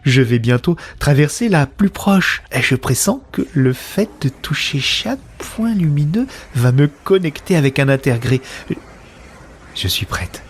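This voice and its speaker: A man's voice: on the high side, lively intonation, a little slow, faint music behind. A man playing a wonderstruck woman who narrates a science-fiction audio drama in the first person, in earnest short phrases. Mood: awed, exhilarated. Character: wonderstruck woman newly linked to a shared consciousness.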